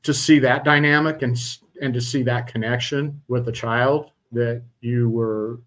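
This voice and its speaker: A middle-aged man hosting a casual interview podcast, talking in a halting way with many fillers and restarts. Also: mid-range voice, fairly even intonation, a bit bright. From Utah. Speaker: podcast host.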